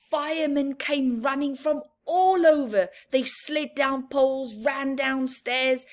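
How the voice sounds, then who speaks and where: A woman reading a picture book aloud to young children, expressive and chatty, adding playful asides. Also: high-pitched. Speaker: children's storyteller.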